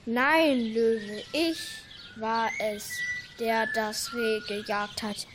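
A woman's voice: a little high, fairly even intonation, extremely slow, fairly bright tone, slight background noise. A young female playing an angry, stubborn bear in an amateur radio play, with birdsong behind. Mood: indignant. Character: angry stubborn bear fighting over prey.

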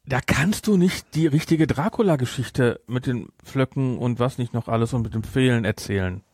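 A man with a German accent chatting casually about a film as a podcast host, with an animated, teasing manner. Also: medium pitch, moderate pace, slightly rough, no room sound, studio quality. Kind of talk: casual chat, film discussion.

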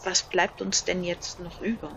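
A woman's voice: fairly low pitch, little pitch movement, normal speed, vocal fry, fairly bright tone, slight background noise, poor audio. A woman playing as a contestant, talking casually through an interactive game. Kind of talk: casual game play discussion.

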